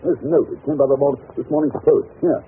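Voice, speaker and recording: An actor playing an irritable, pompous detective, theatrical and clipped, in a 1930s radio drama. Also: male voice, medium pitch, steady tone, fairly quick, natural voice. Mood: scornful. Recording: scratchy, tinny 1930s radio recording with hiss.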